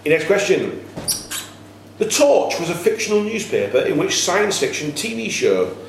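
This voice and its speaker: A man's voice: high-pitched, sing-song, moderate pace, creaky. A man acting as quiz master, reading questions aloud to contestants in a live quiz. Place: echoey indoor room at a cricket club. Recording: echoey room, faint background noise.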